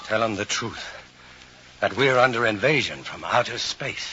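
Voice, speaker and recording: An actor playing a grave, urgent scientist with deliberate, dramatic delivery in a 1950s-style radio drama, on an old vinyl transfer. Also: male voice, on the low side, lively intonation, over loud music, natural voice. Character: grave, urgent male scientist facing alien invasion. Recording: dull, slightly noisy vinyl radio transfer.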